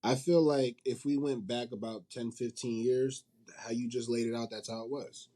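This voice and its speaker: A man's voice: mid-range voice, steady tone, normal speed, warm tone. A man speaking as an interview guest in an informal, slangy, conversational way. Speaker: manga company CEO, interview guest. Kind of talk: casual interview chat.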